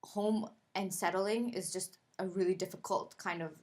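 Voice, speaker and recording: A woman from Pakistan, a podcast guest, talking casually and thoughtfully with many pauses, fillers and restarts. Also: medium pitch, steady tone, a little mellow. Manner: thoughtful, halting, lots of "like" and restarts. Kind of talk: casual conversational interview.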